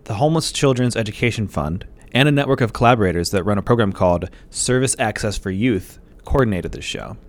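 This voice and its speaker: A man hosting a podcast, narrating a scripted segment in a measured way and spelling things out slowly. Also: on the low side, animated. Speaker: podcast host.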